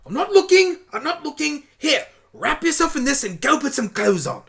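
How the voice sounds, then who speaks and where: A man's voice: very high voice, very animated, normal speed, creaky, bright, forceful tone, pitched above the performer's own voice, full character voice. A man voicing a brainy, flustered, eccentric time-traveling doctor, wordy and pedantic, in a fan audio drama.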